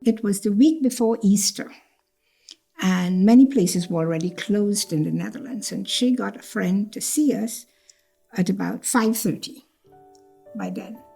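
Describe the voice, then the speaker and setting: A woman's voice: medium pitch, measured pace, vocal fry, mellow, slightly rough, faint music behind, rough recording. An older woman born in Trinidad, telling her life story in a reflective way, repeating phrases and acting out what others said. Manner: reflective, repeats phrases, acts out quoted speech. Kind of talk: personal storytelling interview.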